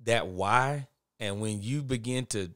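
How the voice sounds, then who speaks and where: A middle-aged man with a naturally big, forceful voice, talking candidly in a podcast conversation and getting louder when he drives a point home. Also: on the low side, slow, no echo, clean studio sound. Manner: earnest and candid, speeds up and swells in volume when making a point. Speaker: guest, father of boys.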